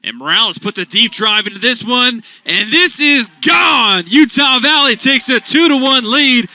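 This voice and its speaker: A young man calling baseball play-by-play for radio in an animated, punchy sportscaster style. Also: very high-pitched, moderate pace, dry recording. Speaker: play-by-play announcer.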